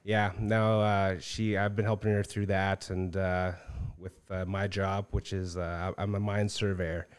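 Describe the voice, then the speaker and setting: Low-voiced man in his thirties, smooth. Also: flat delivery, unhurried, hardly any pauses. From northern Saskatchewan, Canada. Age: 30s. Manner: earnest, often tags "right?" and "uh". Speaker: guest, council candidate. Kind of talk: casual interview chat. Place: small studio room with armchairs. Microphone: boom-mounted studio mic.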